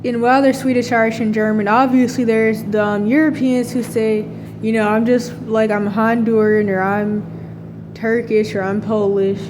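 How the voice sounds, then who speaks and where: A woman's voice: medium pitch, noisy background. A woman talking in a casual, rambling monologue, full of fillers and restarts. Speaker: vlogger.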